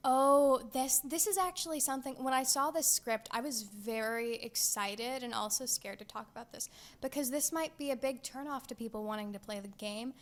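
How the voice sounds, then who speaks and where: Young woman, casual chat, excitable, giggly, filler-heavy, somewhat high-pitched. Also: normal speed, hardly any pauses. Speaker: podcast co-host.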